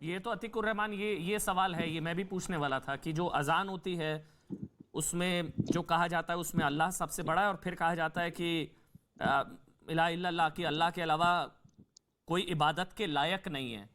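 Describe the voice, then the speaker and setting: Male TV debate anchor, high voice, interrupts and repeats pointed questions insistently. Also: fairly even intonation, moderate pace, a bit bright. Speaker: TV debate host. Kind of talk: heated news debate moderating.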